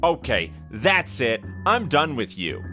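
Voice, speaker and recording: Exasperated bright-voiced mascot played by a man. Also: mid-range voice, big pitch swings, slow, soft background music, heavily acted. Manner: indignant, incredulous. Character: exasperated male costumed mascot, accused of murder.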